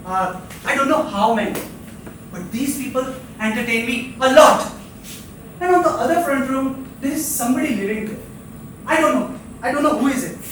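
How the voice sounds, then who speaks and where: A stage actor playing a lonely, restless rooming-house drifter, rambling theatrically and dwelling on words in halting repetitions. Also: high-pitched, a bit bright, a little hiss or hum. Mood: rambling, excitable. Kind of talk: live stage play monologue. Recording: echoey theatre captured from far off, faint music.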